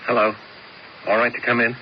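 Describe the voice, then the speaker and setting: An actor playing a nervous, excitable young man who stammers and pleads, in a 1970s radio drama. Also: mid-range voice, a little fast, lightly acted. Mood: agitated. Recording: dull old radio broadcast with faint hiss.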